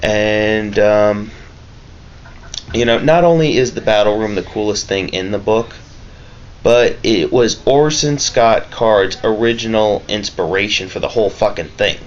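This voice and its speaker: Very deep-voiced man, halting vlog talk. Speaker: vlogger reviewing a film. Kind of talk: casual, rambling vlog review. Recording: dull lo-fi mic with clear background noise.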